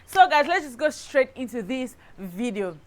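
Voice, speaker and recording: A woman with a Cameroonian accent telling a personal story in a casual vlog style, animated and emphatic, often checking in with the listener. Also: high voice, normal speed. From Cameroon, southwest region. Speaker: lifestyle YouTuber, host.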